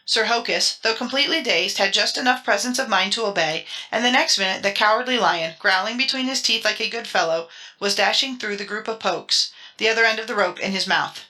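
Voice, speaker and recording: A woman's voice: medium pitch, fairly quick, sharp tone, slight background noise, low-quality recording. A woman reading a children's storybook as a dramatic audiobook narrator.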